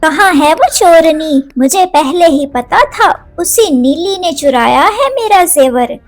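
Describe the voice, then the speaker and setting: A woman's voice: very high voice, expressive, slow, full character voice. A woman voicing a haughty, accusing rich female bird in a cartoon story, scolding and demanding. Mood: angry. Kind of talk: Hindi cartoon story dialogue.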